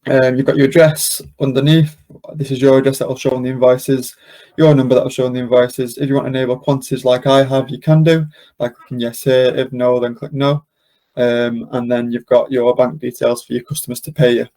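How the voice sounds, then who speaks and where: A man leads a software demonstration in an informal, step-by-step way. His voice is relaxed and mellow, with very little melodic movement and some gravelly fry. He explains things off the cuff, often pausing on an um. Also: mid-range voice. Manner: explanatory, unscripted and hesitant, with frequent ums. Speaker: presenter of a software demonstration webinar. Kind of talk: informal step-by-step screen-share tutorial.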